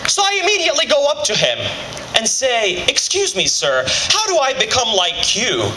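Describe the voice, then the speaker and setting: A man in his twenties from Kuwait giving an impassioned patriotic speech at a political rally, with emphatic phrasing and deliberate pauses. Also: very high-pitched, huge pitch swings. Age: 20s. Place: fairgrounds rally, speaking to a crowd. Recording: echoing, noisy outdoor PA recording.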